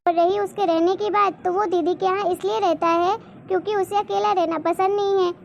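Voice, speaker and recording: Woman as cartoon daughter, young, devoted and determined; extremely high, girlish pitch, faint noise behind. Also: steady tone, brisk, vocal fry. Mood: firm, pleading. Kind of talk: Hindi cartoon moral-story dubbing.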